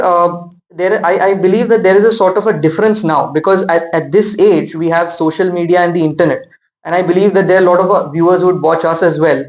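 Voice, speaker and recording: A man with an Indian accent hosting an interview, deferential and wordy as he works his way toward questions. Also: fairly high pitch. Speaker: podcast host, interviewer. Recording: echoey room sound.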